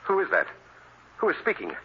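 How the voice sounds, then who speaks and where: Flustered male professor, raspy low voice, swooping indignant delivery, fussy, muffled 1940s radio drama. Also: huge pitch swings, fairly quick, heavily acted. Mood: alarmed, indignant. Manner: fussy, stammering protests. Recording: dull old radio drama recording.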